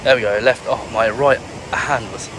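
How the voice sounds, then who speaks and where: A British man from England giving monotone, relaxed commentary while walking a beach outdoors, filming on a handheld camera. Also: mid-range voice, normal speed. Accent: British English. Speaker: travel vlogger. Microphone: handheld camera carried while walking. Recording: clear wind and surf noise. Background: ocean waves and breeze.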